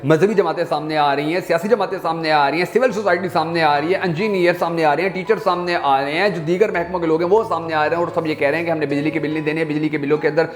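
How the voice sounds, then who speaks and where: A man with a Pakistani accent presenting news commentary to viewers, speaking emphatically and piling up repeated phrases for rhetorical effect. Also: fairly high pitch. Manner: emphatic, repetitive rhetorical build-up. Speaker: YouTube news channel host.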